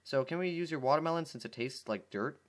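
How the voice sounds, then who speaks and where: A man's voice: a little high, lively intonation, quick pace, close to an everyday voice. A man voicing a curious, eager young female cartoon pony filly in an amateur fan fiction reading.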